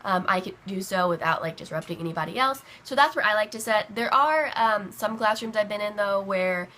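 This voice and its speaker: Young woman, vlogger, chatty rambling talk, sick with a cold, rough voice, crisp bright tone. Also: medium pitch, a little fast, a little hiss or hum. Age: young adult. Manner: chatty, rambling, many fillers. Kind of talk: casual talk to camera.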